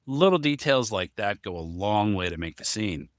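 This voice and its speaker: A man's voice: on the low side, lively intonation, moderate pace, no room sound, clean studio sound. A man co-hosting a casual podcast discussion, talking as the writer of the story. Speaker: podcast co-host, story writer and amateur voice actor.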